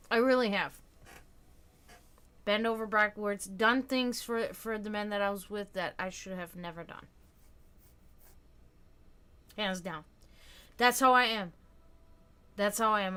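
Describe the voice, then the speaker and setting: She is a woman in her thirties hosting a casual live stream, and her voice sits at an ordinary middle pitch. She talks frankly and thoughtfully, answering people she can't see with quick agreements, then lets her sentences fade into quiet musing. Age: 30s. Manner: frank and reflective, often trailing off quietly. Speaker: stream host.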